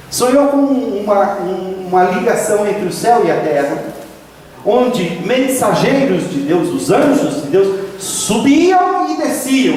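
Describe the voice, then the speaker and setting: A man's voice: very high-pitched, a little hiss or hum. A man, preaching a sermon emphatically, drawing out key phrases and rising to exclamations. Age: 50s. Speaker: pastor. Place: church sanctuary. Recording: echoey hall from a distant mic.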